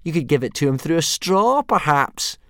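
A man plays a swaggering young Viking bully who sneers and mocks in a raised, lively voice. The sound is close and dry. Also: medium pitch, a little fast, dry recording, pitched above the performer's own voice, natural voice. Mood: sneering. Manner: mocking and sneering.

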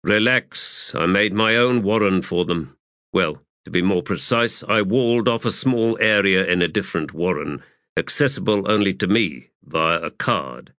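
A man's voice: sits low, normal speed, a bit bright, no room sound. A man voicing a secretive, self-assured army commander in an audiobook, explaining with dry authority. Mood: dismissive.

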